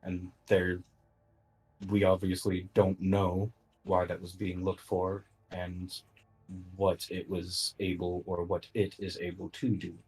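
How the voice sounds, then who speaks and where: An earnest, cautious adventurer, played by a man in a tabletop game. The voice is low and slightly rough, and the argument comes in measured, insistent phrases. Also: monotone, a little mellow, full character voice, rough recording. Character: earnest, cautious male adventurer arguing firmly for safety.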